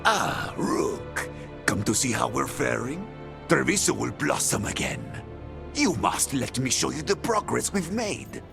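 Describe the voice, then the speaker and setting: A prideful male assassin from a video game, smooth-talking and theatrical. His lowish voice swoops freely and breaks into creak as he needles. Music plays clearly behind him. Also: very animated, a little slow, exaggerated voice. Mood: charming.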